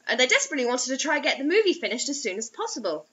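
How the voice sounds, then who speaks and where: Young female story reader, theatrical and mocking, bright animated delivery, slightly dull webcam audio. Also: high voice, very fast. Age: young adult. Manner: expressive, theatrical. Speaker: host reading bad fiction aloud. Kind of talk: dramatic mocking story reading. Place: small indoor room.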